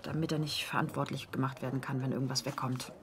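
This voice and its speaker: An actress playing a resourceful, determined young woman journalist in a German radio drama, brisk and matter-of-fact. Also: a bass voice, little pitch movement, a little hiss or hum. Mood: secretive, determined.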